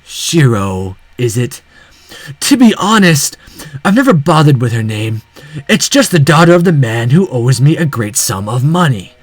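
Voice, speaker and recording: Man putting on a slow, sneering older gangster villain. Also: medium pitch, sing-song, dry recording, voice pushed down. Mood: mocking. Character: callous older male yakuza villain, sneering and taunting. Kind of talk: visual novel character voice.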